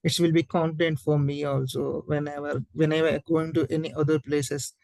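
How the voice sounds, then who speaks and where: A man answering as a student in an online class, halting as he searches for English words. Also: a little high, level tone, vocal fry, darkish tone, no echo. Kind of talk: hesitant spoken answer to teacher.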